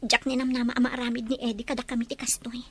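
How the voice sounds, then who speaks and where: A woman's voice: on the high side, flat delivery, rapid-fire, fairly bright tone, husky. A woman acting a young, hurt, anxious and plainspoken wife in an audio drama. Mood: hurt, resigned. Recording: faint background noise.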